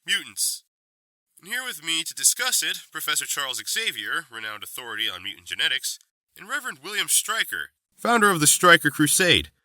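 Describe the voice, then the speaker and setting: An actor playing a formal, poised male television talk show host presenting in measured phrases. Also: fairly high pitch, pushed and bright, no room sound, heavily acted.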